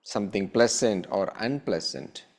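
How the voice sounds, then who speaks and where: Very slow, low-voiced male meditation guide. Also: expressive. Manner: measured, with long pauses between short phrases. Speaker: meditation teacher.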